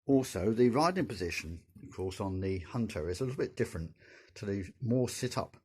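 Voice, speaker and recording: An older man with a croaky, sore-throated voice, talking through a motorcycle video at a measured pace from his garage. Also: fairly low pitch, lively intonation. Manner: measured, gentle, with frequent pauses and fillers. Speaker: motorcycle vlogger. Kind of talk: casual narrated walkaround. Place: indoor garage.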